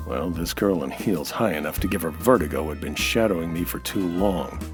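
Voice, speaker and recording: An actor playing a suspicious, hard-boiled American nightclub owner who narrates in a wry, terse radio noir style. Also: male voice, deep voice, moderate pace, over music, lightly acted. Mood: brooding, wry. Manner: wry, terse, tough-talking. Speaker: actor playing lead detective-style hero. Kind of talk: old-time radio noir monologue and dialogue.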